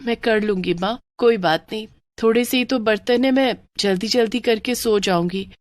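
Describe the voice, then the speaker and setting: A woman's voice: a little high, normal speed, dry recording, close to an everyday voice. A woman voicing a tired, dutiful young working daughter in a cartoon story, reassuring in manner. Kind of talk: cartoon moral story acting.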